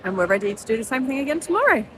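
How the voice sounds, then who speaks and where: A woman's voice: high-pitched, big pitch swings, racing, background noise. A woman from England narrating her trip to a trade show, chatty and upbeat, a bit bunged up. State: stuffy, bunged up. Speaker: vlogger and nail educator. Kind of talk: casual vlog narration.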